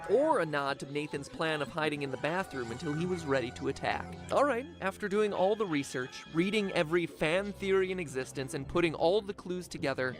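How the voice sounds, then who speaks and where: A man narrating a scripted theory video in a dramatic way, addressing the listener directly. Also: on the high side. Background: background music.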